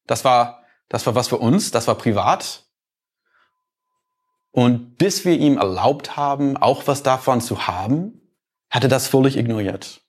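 A man with an American accent gives a thoughtful talk in a mid-range voice, neither high nor low. He takes his time and explains his ideas through small everyday anecdotes. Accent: American accent in German. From United States. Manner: reflective, unhurried, illustrates points with everyday stories. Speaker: speaker giving a talk. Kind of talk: lecture-style talk with personal anecdotes.

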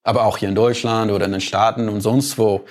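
A man's voice: fairly low pitch, level tone, fast. A man from the United States with an American accent, giving a reflective lecture-style talk and illustrating his points with everyday personal stories. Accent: American accent in German.

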